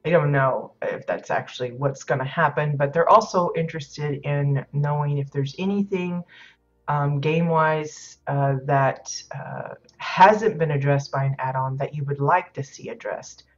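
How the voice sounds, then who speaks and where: A woman hosting a game livestream in casual chat, a little hoarse and stuffed up, rambling with many ums and uhs. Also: low voice, measured pace, faint music behind. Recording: slightly dull lo-fi audio.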